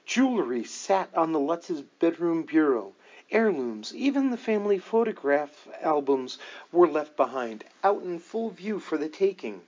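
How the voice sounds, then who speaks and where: A man narrating a book aloud in a deliberate, measured storytelling manner with dramatic emphasis. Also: on the high side, big pitch swings, darkish tone, lo-fi sound. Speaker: audiobook reader.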